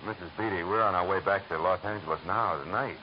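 Tough radio gumshoe, fast and clipped, played by a man, muffled noisy vintage broadcast. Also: low voice, lightly acted. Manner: clipped, dry, wry narration. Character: hard-boiled male private eye, terse. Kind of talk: 1940s radio drama acting.

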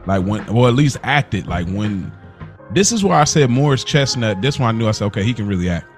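A man with an American accent speaks in a somewhat low register. He co-hosts a podcast in a loose, slangy, conversational way, peppering his talk with filler words. There is music in the background. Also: sing-song, fairly quick. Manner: informal, slangy, frequent "bro" and "like". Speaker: podcast co-host. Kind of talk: casual conversational chat.

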